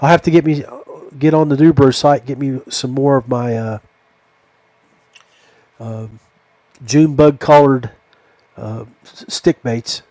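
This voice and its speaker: A man's voice: mid-range voice, steady tone, slow pace, long pauses, dark tone, no echo. A middle-aged or older man hosting a podcast interview, chatting in a casual, folksy, affectionate and rambling way. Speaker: podcast host. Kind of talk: casual chat closing a fishing podcast interview.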